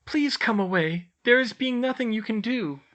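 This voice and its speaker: A reader voicing Tilly, an older female house-elf teacher who squeaks in broken grammar. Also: expressive, normal speed, a bit bright, highs cut off, close to an everyday voice. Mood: earnest.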